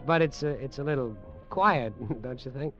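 A man's voice: medium pitch, normal speed, soft background music, close to an everyday voice. An actor playing a nervous, hesitant drinking man in an old radio drama. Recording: muffled vintage radio recording.

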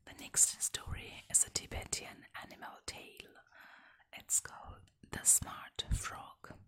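A woman softly reading folk tales and fables aloud in a gentle whisper. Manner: soft, slow, gentle whispering. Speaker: storyteller. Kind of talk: whispered ASMR story reading.

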